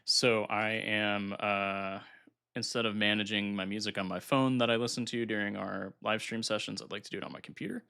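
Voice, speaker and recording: Nasal, gravelly low-voiced man streaming. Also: brisk. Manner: relaxed, thinking aloud while setting up software. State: recovering from illness, slightly congested. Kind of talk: casual live-stream chat.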